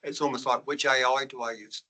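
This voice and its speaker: A man's voice: mid-range voice, fairly even intonation, brisk, sharp tone. A man in the audience at a meetup, asking a long, rambling question and searching for words as he goes. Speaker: audience member.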